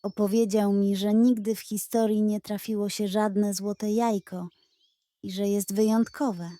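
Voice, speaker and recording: A woman's voice: mid-range voice, level tone, unhurried, warm tone, no echo, close to an everyday voice. A woman voicing a bossy yet gullible hen who leads her flock, with a clucking, emphatic manner. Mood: wistful awe.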